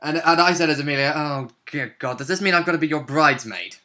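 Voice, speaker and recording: A man's voice: a little high, fast, bright, forceful tone. A man chatting casually as a tabletop role-play player, chiming in with short agreements and additions. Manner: interjecting with brief agreements and additions. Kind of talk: casual chat about a tabletop game.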